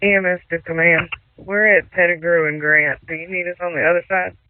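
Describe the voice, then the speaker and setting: A man's voice: sits high, level tone, brisk, slight background noise. A man speaking as an emergency responder, calling command over radio. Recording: tinny, dry two-way radio transmission.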